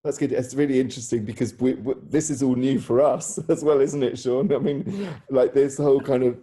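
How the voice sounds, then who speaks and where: A man's voice: medium pitch, brisk, warm tone. A man interviewing informally on a video call in an encouraging, slightly rambling and hesitant way. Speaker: interviewer, theatre practitioner.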